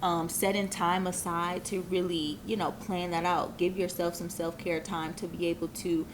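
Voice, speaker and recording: A woman's voice: lowish voice, level tone, moderate pace, noisy background. A woman in her twenties, a business owner, talking earnestly and reflectively as an interview guest, with many filler phrases. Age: 20s. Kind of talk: casual interview talk.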